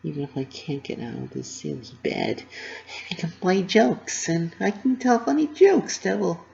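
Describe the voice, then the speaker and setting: Sweet, cheery young female pony, voiced by a man, soothing and playful, higher register, dull home-style audio. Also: very animated, normal speed, gravelly, a little mellow, full character voice.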